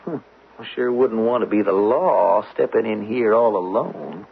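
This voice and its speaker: A man's voice: fairly low pitch, lively intonation, unhurried, gravelly, breathy edge, heavily acted. A man in a radio drama playing a suspicious, drily threatening American outlaw who hedges as he talks. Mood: offhand. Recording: thin phone-like old radio.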